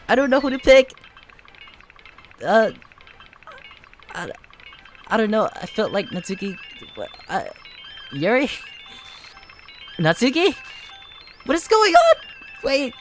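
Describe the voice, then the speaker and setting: A woman doing let's play commentary on a game, excitable and quick to exclaim. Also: sits high. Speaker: gaming YouTuber.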